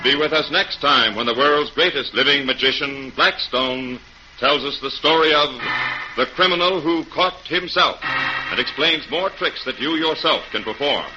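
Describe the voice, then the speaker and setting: A man announcing from a script in an emphatic, showman-like 1940s radio style. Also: on the high side. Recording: muffled, noisy vintage radio recording. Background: music.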